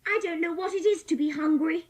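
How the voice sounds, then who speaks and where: Very high-voiced haughty little girl. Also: brisk, a little mellow. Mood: stubborn. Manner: imperious, curt, formal. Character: haughty, spoilt, sullen little rich girl. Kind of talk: dramatised audiobook acting.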